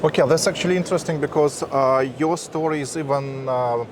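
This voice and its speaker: A man's voice: a little high, fairly quick. Man met Russisch accent die vragen stelt. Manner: chatty, rambling questions. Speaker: interviewer, host. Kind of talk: casual interview. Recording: street noise behind.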